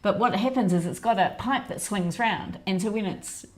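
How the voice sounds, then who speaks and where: A woman's voice: medium pitch, normal speed. A middle-aged woman co-hosting a livestream, telling everyday stories in a chatty, easygoing way. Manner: chatty storytelling, frequent laughs and backchannels. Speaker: co-host of a weekly livestream. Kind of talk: casual chat.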